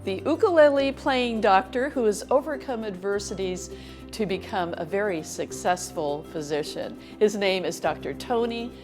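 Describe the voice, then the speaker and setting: A woman hosting an interview show, asking prepared questions in a warm, measured way. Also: medium pitch, expressive, music in the background. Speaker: interview host.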